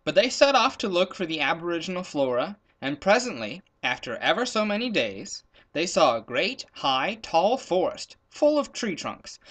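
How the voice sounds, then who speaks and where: A man's voice: high-pitched, pushed and bright. A man reading a children's story aloud expressively, with playful emphasis on rhythmic lists of words.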